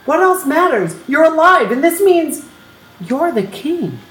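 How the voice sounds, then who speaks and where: Woman as earnest young cartoon lioness, slightly high, expressive, unhurried, echoey room. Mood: astonished. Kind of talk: comic script table read. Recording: echoey room with faint noise.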